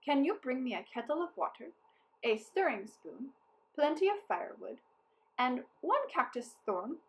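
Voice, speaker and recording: A confident storybook captain of soldiers played by a woman reading aloud. He is wily and courteous, coaxing with emphatic, persuasive phrasing in a high, lifted voice. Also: very animated, measured pace, full character voice, poor audio.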